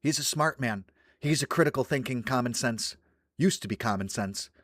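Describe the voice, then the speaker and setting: A man's voice: medium pitch, moderate pace, fairly bright tone, dry recording, clean studio sound. A man commenting on a video in a blunt, scornful, ranting way. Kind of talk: reaction commentary.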